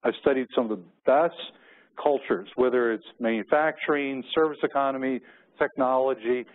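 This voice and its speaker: A middle-aged man with an American accent speaks as a keynote presenter, moving between business points and personal stories. His pitch sits in an average range. The recording is narrow and phone-like, like audio from a conference room feed. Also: fairly even intonation, moderate pace.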